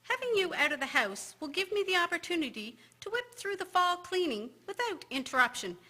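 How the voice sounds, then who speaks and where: Woman playing a high, bright, brisk old-time wife. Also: sing-song, heavily acted. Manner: brisk, no-nonsense. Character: brisk, practical, lively farm-country wife. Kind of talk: period radio drama acting. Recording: faint noise with clicks.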